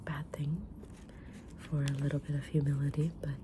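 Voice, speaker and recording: Woman doing a gentle witchy tarot reader, whispery asmr roleplay, low voice, pretty even tone, mysterious and kind. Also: noisy background, lightly acted. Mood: knowing, soothing. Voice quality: breathy whisper. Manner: gentle, deliberate, soft-spoken, drawn-out pauses.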